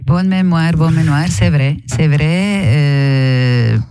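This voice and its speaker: A woman's voice: low-pitched, takes it slowly, warm tone, smooth. A middle-aged woman telling anecdotes with frequent fillers as a guest in a radio studio. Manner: chatty storytelling, frequent fillers, chuckles. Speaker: family education worker, radio guest. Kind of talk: casual radio interview. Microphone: studio microphone.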